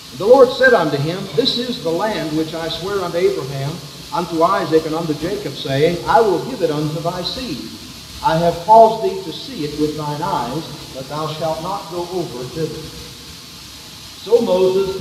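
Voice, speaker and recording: A male preacher with a fairly high voice, working from soft scripture reading up to a loud, fervent pitch. He is heard from a distance in a big church hall with a long echo and a faint hum of the room behind him. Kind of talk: sermon. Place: church sanctuary. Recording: echoey hall from far off, faint room noise.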